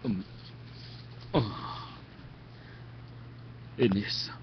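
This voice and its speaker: An actor playing a gentle, ailing old father with a weak, halting delivery in a radio drama. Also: fairly low pitch. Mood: weary, wistful. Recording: muffled lo-fi stream, clear background noise.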